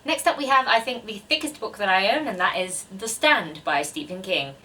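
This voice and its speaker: A woman's voice: mid-range voice, lively intonation, moderate pace, bright, forceful tone, recorded from a distance. A woman in her twenties chatting casually to camera as a book vlogger, with a self-deprecating, chatty manner. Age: 20s.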